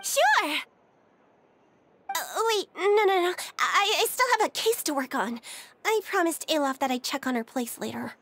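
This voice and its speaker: A woman's voice: high-pitched, expressive, normal speed, a little hiss or hum. A woman voicing an innocent, childlike little female creature in a video game, earnest and a bit hesitant. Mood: eager, earnest. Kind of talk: video game character dialogue. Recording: loud music underneath.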